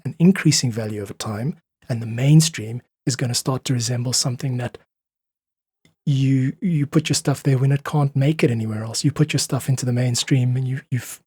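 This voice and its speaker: South african guy on a podcast, bit husky, dry close sound, making his points hard and casual. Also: medium pitch, warm tone, no room sound. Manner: emphatic, persuasive, informal. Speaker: musician, podcast guest. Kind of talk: conversational interview, opinionated monologue.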